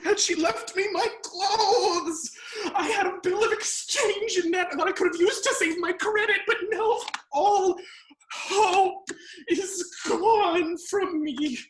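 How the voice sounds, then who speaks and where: A woman acting a foolish, cheated country gentleman in a melodramatic, self-berating stage monologue, in an echoey room. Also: very high voice, slow, a little hiss or hum. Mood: wailing self-disgust.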